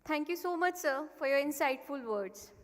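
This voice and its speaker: A woman's voice: high-pitched, lively intonation, normal speed. A woman serving as conference emcee, reading a formal speaker introduction that stumbles in places. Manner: formal, slightly stumbling read. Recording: long hall reverb.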